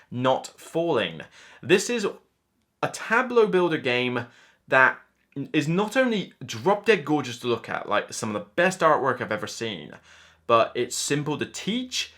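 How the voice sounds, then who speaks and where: A man's voice: on the high side, fairly bright tone. A man in his thirties giving an enthusiastic, informal and emphatic board game countdown review to camera, speaking indoors. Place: indoor room lined with game shelves.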